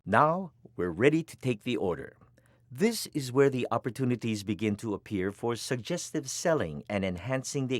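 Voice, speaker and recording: A man delivering scripted instructional narration as the narrator of a training film, with deliberate, emphatic phrasing. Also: mid-range voice, no echo, clean studio sound.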